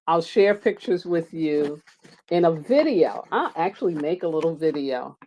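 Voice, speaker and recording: A low-pitched woman talks loosely and warmly to her live-stream viewers about astrology. She speaks loudly, often laughs, and jumps high when she gets excited, with her microphone resting on a table. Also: normal speed, slight background noise, poor audio. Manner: loud voice, mic set down on the table. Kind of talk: casual live-stream talk.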